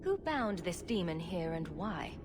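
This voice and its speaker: Wry, sardonic rogue heroine. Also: female voice, lowish voice, very animated, unhurried. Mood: questioning. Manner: sardonic, direct. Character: wry, sarcastic adventurer woman, a rogue hero. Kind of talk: video game dramatic acting. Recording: faint background ambience noise.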